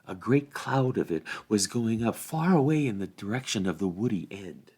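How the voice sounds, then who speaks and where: A man reading a storybook aloud as narrator, in an expressive storytelling style. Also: on the low side, moderate pace, husky. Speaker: volunteer story reader.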